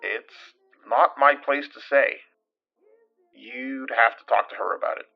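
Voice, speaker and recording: A man acting a gentle, protective confidant. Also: mid-range voice, fairly even intonation, measured pace, creaky, lo-fi sound. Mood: reassuring, evasive. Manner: gentle, hesitant, reassuring. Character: caring, protective, tactful friend and confidant. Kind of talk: naturalistic audio drama acting.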